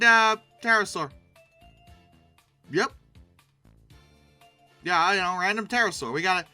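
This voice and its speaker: A video host giving casual, humorous commentary in an expressive, emphatic way with wry, sarcastic asides. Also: medium pitch, no room sound.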